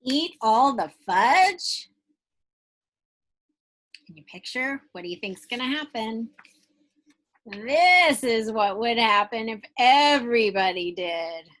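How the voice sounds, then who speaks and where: A woman's voice: a little high, lively intonation, takes it slowly, long pauses, a bit bright. A woman teacher reading a picture book aloud to young children, stretching out phrases expressively and putting questions straight to her listeners.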